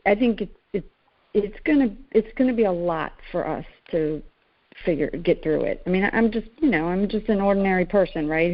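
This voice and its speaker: Woman, middle-aged caller, lowish voice, hesitant, plain-spoken, casual, muffled conference line. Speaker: caller asking questions. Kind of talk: casual question-and-answer talk. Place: conference call. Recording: muffled, narrow-band conference call audio.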